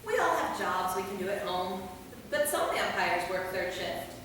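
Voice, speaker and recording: In a roomy, echoing hall, a woman plays an age-old vampire in a stage comedy. Her mid-pitched voice is measured and explanatory, picked up from a distance. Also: animated, a bit bright, natural voice. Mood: matter-of-fact. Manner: measured, explanatory, matter-of-fact. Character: matter-of-fact centuries-old female vampire explaining the lore. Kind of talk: staged reading of a comic play. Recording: echoey hall from far off, noisy.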